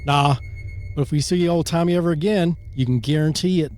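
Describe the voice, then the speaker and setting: A small-town sheriff in a radio play, acted by a man, speaking in a fairly high male voice. He is gruff, sarcastic and openly threatening. Faint music runs behind him. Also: fairly quick, a little mellow, close to an everyday voice. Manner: sardonic, threatening. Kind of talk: amateur radio play reading.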